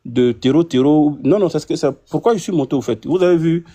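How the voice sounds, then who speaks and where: A man with a West African French accent, an imam, explains himself in an impromptu debate in a halting, circling way. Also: on the high side, warm tone. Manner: halting, circling explanations with frequent tag words. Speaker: imam taking part in a debate.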